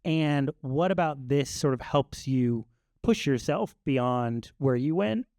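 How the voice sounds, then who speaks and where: A man moderating a live Q&A panel, asking friendly, measured questions. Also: mid-range voice, expressive, darkish tone, no echo, clean studio sound. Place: live event stage.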